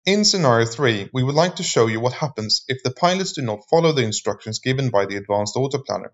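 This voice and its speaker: A man's voice: medium pitch, animated, fast talker. Dull-sounding male narrator, formal and scripted.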